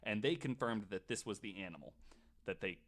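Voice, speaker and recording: A man hosting a podcast, telling stories casually in a halting, conversational way. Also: fairly low pitch, fairly bright tone. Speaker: podcast host. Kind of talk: casual storytelling and chat.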